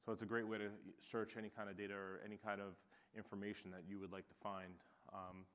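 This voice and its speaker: A male presenter chats his way through conference tips in an upbeat manner. He talks fast, and his voice sits a little low. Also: little pitch movement, fairly bright tone, clear, resonant tone. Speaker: presenter, host of the session. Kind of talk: conference panel talk.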